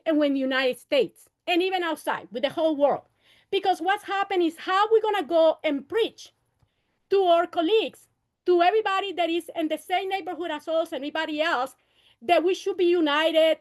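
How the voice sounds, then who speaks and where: High-pitched woman in her fifties rallying. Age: 50s. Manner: impassioned, rallying, repeats phrases; switches between Spanish and English. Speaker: city council candidate. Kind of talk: campaign speech at a meeting.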